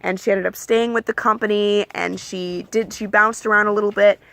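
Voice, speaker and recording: Bright, gravelly-voiced young woman vlogging. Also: medium pitch, a little fast, no echo. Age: young adult. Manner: chatty and candid, lots of ums and likes; calls her own voice monotone. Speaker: vlogger giving application advice. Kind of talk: casual advice vlog.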